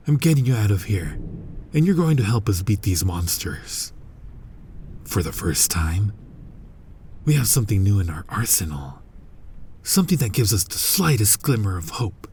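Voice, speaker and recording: A man playing a guilt-ridden, centuries-old vampire hunter who is himself a vampire, husky and halting, in a dramatic ASMR roleplay. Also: darkish tone, a little hiss or hum. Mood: grim, determined. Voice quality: husky, breathy low voice. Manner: slow, brooding, halting, often dropping toward a whisper.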